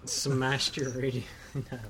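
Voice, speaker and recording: This man co-hosts a homemade radio show in a casual, wry way, dropping deadpan quips. His pitch is middling, with faint background noise behind him. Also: measured pace, poor audio. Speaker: co-host. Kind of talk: casual chat.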